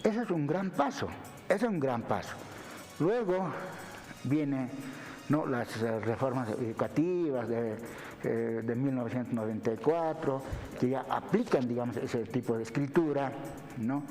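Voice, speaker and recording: A Bolivian man speaks as a university lecturer in an interview, in a mid-pitched voice. He explains didactically and often tags his phrases with short questions. The sound has a long hall-like reverb with faint music underneath. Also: lively intonation, a little slow, darkish tone. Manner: didactic, punctuates with tag questions. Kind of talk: explanatory television interview talk.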